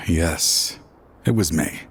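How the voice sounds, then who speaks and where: An actor playing an aging, grieving father in a video game, reflective and deliberate, weary with regret. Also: extremely low pitch, fairly bright tone, a little hiss or hum, exaggerated voice. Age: older. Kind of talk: dramatic video game voice acting.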